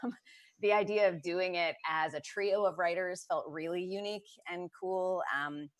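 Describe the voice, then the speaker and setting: Female panel guest, lowish pitch, dry room-free sound, casual chat. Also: steady tone, normal speed, no room sound.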